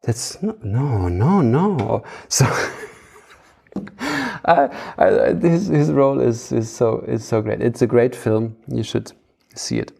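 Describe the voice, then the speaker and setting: Guy hosting a podcast, creaky soft mellow voice, halting, feels around for words. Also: medium pitch, lively intonation. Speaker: podcast host. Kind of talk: casual conversational podcast talk.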